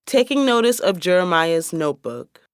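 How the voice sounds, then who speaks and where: A narrator reads scripted stage directions for a radio drama. The voice sits at a middle pitch in a close, dry recording. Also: very animated, normal speed, clear, resonant tone, no room sound.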